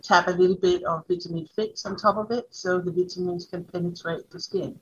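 A middle-aged woman from Denmark with a Danish accent, answering interview questions in a halting way with fillers. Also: lowish voice, slightly rough, muffled recording. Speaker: guest, brand founder.

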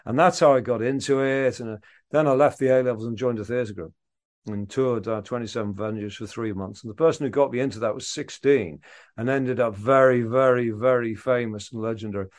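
A man in his fifties from around Liverpool, talking animatedly and laughing at himself as he tells stories. His voice sits at a middling pitch, with a mild English accent. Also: fairly quick. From England, Liverpool area. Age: 50s. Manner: animated, self-mocking, laughs often. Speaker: guest, theatre maker. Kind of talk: casual interview talk.

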